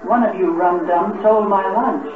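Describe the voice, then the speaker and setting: An actor playing an officious, irritated department-store announcer over a public-address system in a 1950s radio comedy. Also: male voice, high-pitched, moderate pace, a crowd in the background. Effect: echoing public-address announcement. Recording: echoey, distant, tinny, loud music behind.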